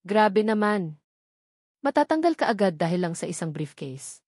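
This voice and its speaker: A woman's voice: mid-range voice, very animated, normal speed, darkish tone, breathy edge, dry recording, studio quality. A woman storyteller voicing a reserved, proud young woman driver in a romance story, polite and guarded.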